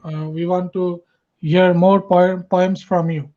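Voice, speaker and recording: A man with a Bangladeshi accent moderates an online event. He speaks hesitantly and fills his pauses with uh's. The audio is dull and lo-fi. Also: sits high, level tone. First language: Bengali. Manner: hesitant, many uh fillers. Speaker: festival moderator.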